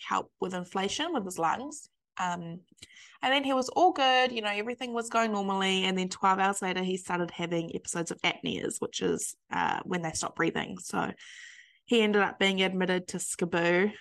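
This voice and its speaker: A woman's voice: on the low side, creaky, a bit bright. A woman with a New Zealand accent hosting a podcast interview, sounding stuffy and sniffly, chatting casually with plenty of fillers and restarts. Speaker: podcast host.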